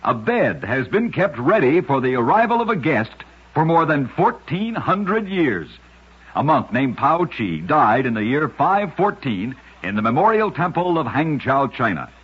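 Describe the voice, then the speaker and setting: A man hosting a radio program, reading scripted narration of curious facts in a measured, emphatic storyteller's delivery. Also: mid-range voice, very animated, husky. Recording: muffled, lo-fi radio recording.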